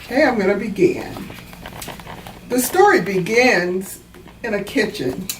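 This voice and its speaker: A woman reading a children's book aloud and explaining it in a deliberate, teacherly way. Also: medium pitch, expressive. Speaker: author reading own book. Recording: roomy, distant mic, faint background noise.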